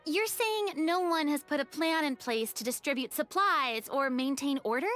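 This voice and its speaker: A woman voicing a bold, confident young woman adventurer in a game, speaking clearly and theatrically. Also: sits high, lively intonation, normal speed, a bit bright, soft background music. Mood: concerned. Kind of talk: game character dialogue.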